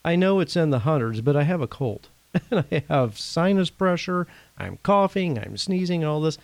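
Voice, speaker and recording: A man from Indiana hosting a casual faith-based talk, his voice a bit rough from a recent cold, speaking earnestly and conversationally. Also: on the high side, expressive, moderate pace, darkish tone, no echo. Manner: earnest, conversational, laughs now and then. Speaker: podcast host.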